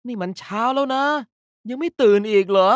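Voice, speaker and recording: A woman voicing a cute, energetic, pouty young girl mascot for a card game, playful and emphatic in an anime-like style. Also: mid-range voice, brisk, dry recording, studio quality, natural voice. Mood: urging, playful. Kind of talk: character voice pack lines, anime-like acting.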